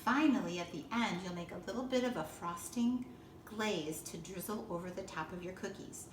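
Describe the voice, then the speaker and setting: Echoey woman giving step-by-step instructions. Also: medium pitch. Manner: deliberate, simple explanatory phrasing. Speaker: librarian giving a baking demonstration. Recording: distant roomy echo with background noise.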